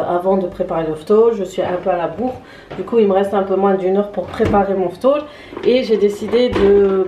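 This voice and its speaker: A tired woman talks casually to her viewers from her kitchen, hesitant and full of fillers. Her voice sits at a middle pitch. The room rings with echo under a faint hiss. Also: mellow, dull audio. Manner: chatty, hesitant, many fillers. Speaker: vlogger. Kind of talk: casual vlog chat. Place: home kitchen.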